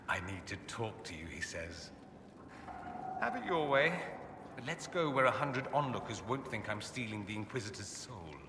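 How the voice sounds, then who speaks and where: An actor playing a witty, flamboyant and sardonic mage in a fantasy game, with an arch, teasing delivery. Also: male voice, mid-range voice, very animated, a little slow, long pauses, long reverb tail, background noise. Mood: playful, arch. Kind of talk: scripted fantasy game dialogue.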